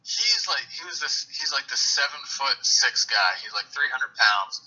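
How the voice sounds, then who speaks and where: Male phone-interview guest, lively pitch, raspy, conversational and rambling sports talk, muffled call audio. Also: a little slow. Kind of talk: casual sports chat. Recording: muffled radio-like phone line.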